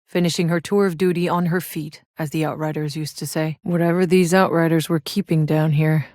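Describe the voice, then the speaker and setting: A woman's voice: deep voice, fairly even intonation, brisk, darkish tone, no room sound, close to an everyday voice. An actress playing a tough, sardonic, weary but determined woman soldier in a video game, with a terse, dry, hard-edged delivery. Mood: somber. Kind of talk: acted video game voice lines.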